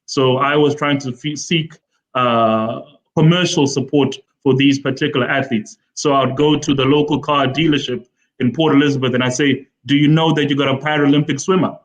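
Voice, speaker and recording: A man from Zimbabwe in his forties, a football development official, explaining his career at a measured pace in a live online interview, with frequent fillers. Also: mid-range voice, fairly even intonation, poor audio. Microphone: video call.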